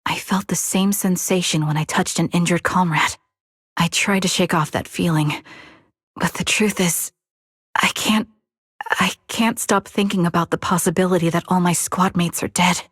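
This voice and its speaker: A woman voicing a haunted young soldier in a video game, somber, measured and confessional as she grieves. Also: lowish voice, fairly even intonation, a bit bright, slightly rough, no echo, clean studio sound. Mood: troubled, grieving. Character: haunted young female soldier, grieving her lost squad.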